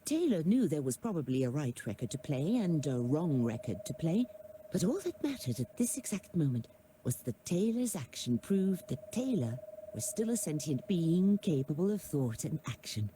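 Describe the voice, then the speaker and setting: A woman narrating a video game's story in a wry, measured storyteller's voice. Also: sits low, sing-song, warm tone, slight background noise. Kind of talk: scripted story narration in a game.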